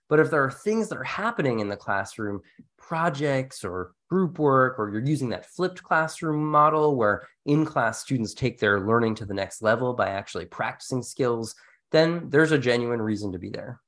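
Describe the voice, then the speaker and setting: A man's voice: mid-range voice, a bit bright. A man talking conversationally as co-host of an educational podcast, explaining ideas casually with a wry touch. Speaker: podcast co-host, instructional designer.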